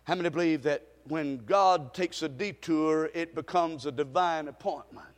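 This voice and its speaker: A man preaching a sermon and telling a story, with stammering restarts, swinging from hushed to shouting. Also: fairly high pitch, normal speed. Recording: reverberant hall.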